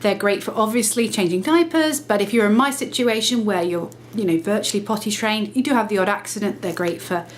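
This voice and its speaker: Woman vlogger, mid-pitched, conversational, slightly nasal with a cold, faint background hiss. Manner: chatty, self-correcting, brisk explaining. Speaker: lifestyle vlogger. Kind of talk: casual talk to camera.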